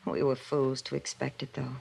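A woman's voice: very deep voice, monotone, unhurried, vocal fry, a little mellow, husky, full character voice. An American woman playing a young small-town waitress, earnest and guarded, in a 1950s radio drama. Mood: resigned, guarded. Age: young adult.

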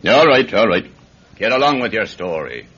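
A man's voice: lowish voice, very animated, moderate pace, fairly bright tone, husky, exaggerated voice. A man playing an impatient, authoritative Irish magistrate in a radio drama, brusque in his questioning. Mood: brisk questioning. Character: impatient Irish district magistrate keeping order in court. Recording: lo-fi radio recording with hiss.

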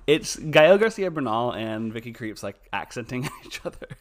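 A man co-hosting a podcast, chatting in a campy, enthusiastic way with quick asides. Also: medium pitch, sing-song. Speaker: podcast co-host. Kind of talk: casual chat.

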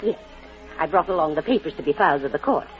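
A woman's voice: deep voice, expressive, fast. A woman playing a kindly, prim female orphanage inspector in a 1940s radio drama, formal and precise. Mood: businesslike. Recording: dull old radio, music behind.

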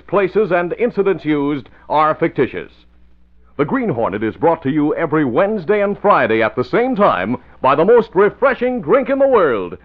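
Animated vintage radio announcer, male. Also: a little high. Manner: emphatic, polished. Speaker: announcer and narrator. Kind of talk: 1940s radio announcing, scripted narration and sponsor read. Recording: muffled vintage radio broadcast recording.

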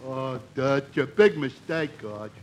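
An actor playing a cheerful, slow-witted barfly sidekick in a 1940s radio comedy, with a goofy, earnest manner. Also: male voice, mid-range voice, a little mellow, natural voice. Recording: very noisy vintage radio recording.

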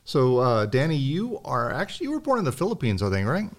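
A man's voice: medium pitch, huge pitch swings, quick pace. Chatty male podcast co-host. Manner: chatty, laughs often, repeats words. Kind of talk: casual interview chat.

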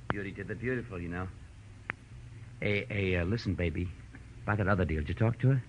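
An actor playing a sly, slangy burlesque comic in 1940s radio style, chummy and wheedling. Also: male voice, low-pitched, fairly quick, lightly acted. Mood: coaxing, smitten. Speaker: supporting actor. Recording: muffled, noisy old radio recording.

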